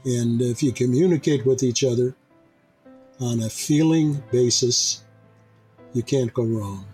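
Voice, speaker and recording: A man in his eighties speaking sincerely and reflectively, in a measured way, about his own life. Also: mid-range voice, a little mellow, faint music behind. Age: 80s. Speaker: discussion participant. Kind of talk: reflective personal reminiscence.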